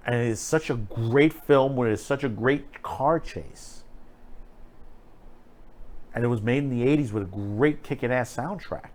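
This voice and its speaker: Man giving rambling, emphatic commentary. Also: medium pitch. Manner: rambling, halting, emphatic, repeating phrases. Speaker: host of an online film commentary show. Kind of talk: unscripted opinionated commentary.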